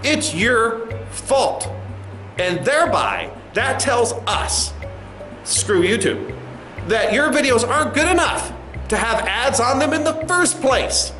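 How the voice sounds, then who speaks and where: A man playing a condescending, contemptuous corporate spokesman in a satirical comedy sketch, talking in halting mock-official phrases. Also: extremely high pitch, sing-song, sharp tone, echoey room, soft background music, full character voice. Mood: blaming, mocking. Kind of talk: satirical press conference acting.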